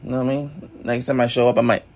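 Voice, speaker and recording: A raspy-voiced young man hosting his own podcast, musing aloud in a loose, rambling way full of fillers and "you know what I mean". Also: medium pitch, little pitch movement, rapid-fire, a little mellow. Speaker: podcast host. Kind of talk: casual solo reflection.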